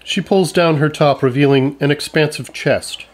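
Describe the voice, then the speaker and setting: A man's voice: fairly high pitch, normal speed. A man reading scripted narration for a comedy audio drama. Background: music.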